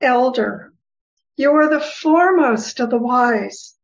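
A woman reading the part of a serene, wise goddess who gently teases, in a formal scripture reading with deliberate delivery. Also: sits high, animated, exaggerated voice. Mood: gently teasing. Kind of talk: dramatic reading of Buddhist scripture. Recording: dull, lo-fi recording.

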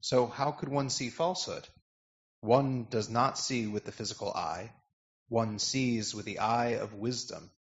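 A man playing a calm bodhisattva in an amateur dramatic scripture reading, measured and earnest. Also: medium pitch, close to an everyday voice. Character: calm male bodhisattva expounding wisdom. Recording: dull lo-fi recording.

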